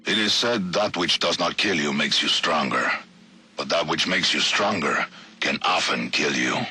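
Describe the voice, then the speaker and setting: Huge brooding bad guy, crazy deep and raspy, solemn and formal, video game audio log. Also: normal speed, sharp tone, heavily acted. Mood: brooding, grave. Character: menacing, brooding villain strongman battling his addiction.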